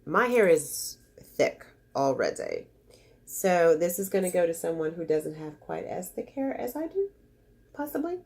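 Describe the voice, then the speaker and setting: A woman's voice: lowish voice, very animated, a little slow, creaky, darkish tone. A woman beauty vlogger with a congested voice, chatting casually and gushing as she unboxes makeup samples. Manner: chatty, gushing, easily disappointed.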